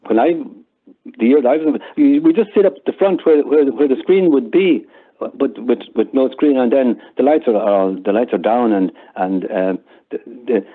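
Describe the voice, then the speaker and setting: An older Irishman speaks as a guest in a casual interview. His delivery is conversational and halting, with words often repeated as he explains. The sound is narrow and muffled, like an online call. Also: mid-range voice. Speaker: interview guest, member of amateur audio theatre group.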